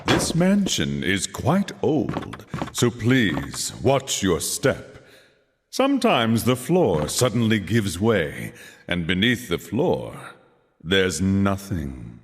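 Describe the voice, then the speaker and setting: An actor playing a mock-polite, gleefully macabre haunted-house guide in a horror game, with drawn-out theatrical narration. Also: male voice, low-pitched, wildly swooping pitch, exaggerated voice. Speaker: attraction announcer. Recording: long hall reverb, faint noise.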